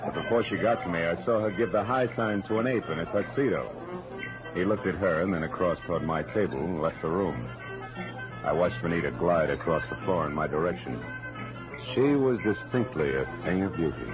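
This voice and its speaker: Deep-voiced hard-boiled American private eye. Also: male voice, expressive, fairly quick. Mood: wry, tense narration. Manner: hard-boiled, clipped, sardonic. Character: tough, wisecracking male private detective. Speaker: lead actor and narrator. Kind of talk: 1940s-50s radio detective acting. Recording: muffled old radio recording with music.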